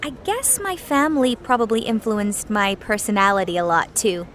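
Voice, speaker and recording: A woman's voice: mid-range voice, animated, moderate pace, a bit bright, a little hiss or hum, close to an everyday voice. A woman from Korea, speaking in an easygoing, reflective way as an interviewee. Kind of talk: scripted course-book interview.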